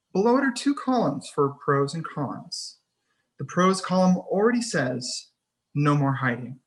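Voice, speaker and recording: A man's voice: fairly high pitch, a bit bright. A man reading stage directions as the narrator of a screenplay table read.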